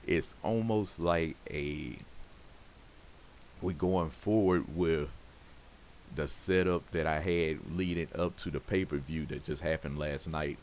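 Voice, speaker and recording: Super deep scratchy-voiced guy rambling thru his game, lots of fillers. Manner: rambling, loose, full of fillers. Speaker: game streamer. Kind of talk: casual gameplay commentary.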